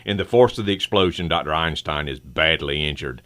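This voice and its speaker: A man's voice: very deep voice, brisk, background noise. A man giving dramatic, scripted narration as the narrator of an old-style superhero radio drama.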